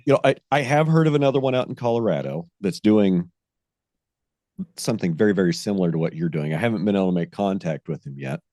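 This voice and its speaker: Low-voiced casual male podcast host. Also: lively intonation, fast, darkish tone, dry recording, clean studio sound. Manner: casual, hesitant, restarts. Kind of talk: interview conversation.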